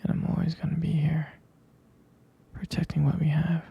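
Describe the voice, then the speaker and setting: A man playing a protective, jealous but tender boyfriend in an intimate ASMR roleplay, speaking softly and hesitantly in a breathy, husky whisper. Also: on the high side, completely flat delivery, normal speed, dull audio, exaggerated voice. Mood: possessive, tender.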